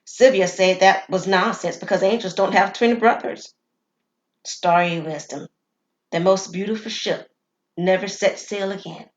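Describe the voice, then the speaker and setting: A woman's voice: mid-range voice, steady tone, unhurried, dull audio. Woman storyteller in an echoey room. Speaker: storyteller, writer and performer. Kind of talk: dramatic scripted story narration. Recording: roomy echo, faint background noise.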